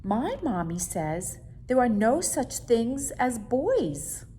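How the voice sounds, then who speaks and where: Woman voicing a small storybook monster, boyish, high and bouncy. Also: slow pace, a little hiss or hum. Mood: giggly. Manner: high, excited, giggly. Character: small scared male monster under a bed.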